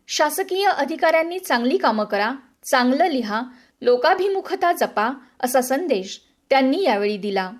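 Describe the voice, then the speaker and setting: A woman presenting a scripted radio newsreel as a narrator. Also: high-pitched.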